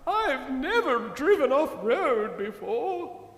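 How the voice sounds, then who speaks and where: A man's voice: extremely high pitch, very animated, slow pace, a bit bright, pitched above the performer's own voice, exaggerated voice. A man voicing a fussy, vain luxury car in a children's story, whiny and reluctant. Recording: echoey hall, long reverb.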